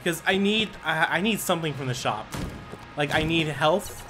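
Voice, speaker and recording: Young guy playing a game and talking over it, kinda high voice, swears a lot, game music going. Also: moderate pace. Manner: excitable, swearing, reads game dialogue aloud. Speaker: gaming video creator. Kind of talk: casual gameplay commentary.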